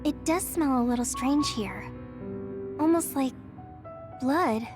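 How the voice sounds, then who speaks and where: High-voiced caring young female soldier. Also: takes it slowly, music in the background. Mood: disturbed. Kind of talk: anime-style video game cutscene acting.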